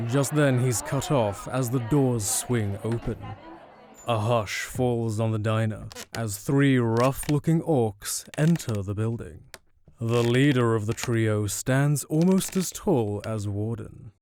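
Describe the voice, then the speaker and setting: Medium-pitched male storytelling narrator. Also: slow pace, darkish tone, some chatter behind, slight background noise. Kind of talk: scripted audio drama narration.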